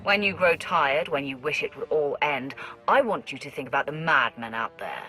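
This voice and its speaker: Sharp, imperious Sith commander. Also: female voice, low-pitched, lively intonation, moderate pace, faint music behind, low-quality recording. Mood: stern, rousing. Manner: imperious, forceful. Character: stern, commanding Sith warrior leading an alliance. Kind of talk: dramatic video game acting.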